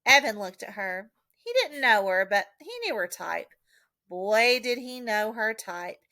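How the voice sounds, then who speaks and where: Lady reading a kids chapter book, bright voice, super expressive and dramatic. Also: fairly high pitch, no room sound. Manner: dramatic, deliberate emphasis. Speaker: audiobook reader, teacher. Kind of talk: expressive children's storybook narration.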